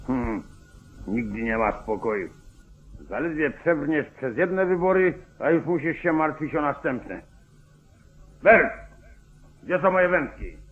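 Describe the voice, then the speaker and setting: An actor playing a weary, grumbling small-town sheriff in a 1940s radio play. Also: male voice, a little high, expressive, slow, pauses often. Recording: dull, lo-fi old radio recording.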